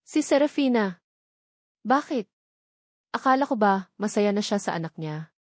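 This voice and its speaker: A woman's voice: medium pitch, expressive, a little slow, no room sound, clean studio sound. Woman reading as a wounded, suspicious young mother. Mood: shocked disbelief. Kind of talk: dramatic storybook dialogue.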